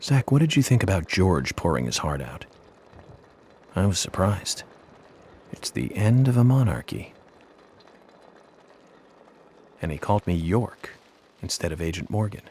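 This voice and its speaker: An American man playing an eccentric, introspective federal agent in a video game, with a measured, earnest, confiding manner. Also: low-pitched, big pitch swings, a little mellow, slight background noise. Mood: musing. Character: eccentric, introspective male FBI agent with an imaginary friend. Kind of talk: video game cutscene dialogue.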